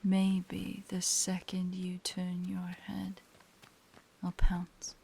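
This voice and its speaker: A woman playing a predatory, teasing spider-woman monster in a horror audio roleplay, with a breathy, whispery voice and a taunting, menacing manner. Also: on the low side, completely flat delivery, mellow, a little hiss or hum, full character voice. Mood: playful menace. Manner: slow, taunting, playfully sinister.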